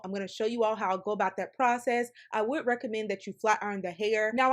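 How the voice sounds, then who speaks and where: A woman narrating a wig tutorial in an upbeat, chatty voice-over, calling out to her viewers. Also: medium pitch. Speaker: hair vlogger.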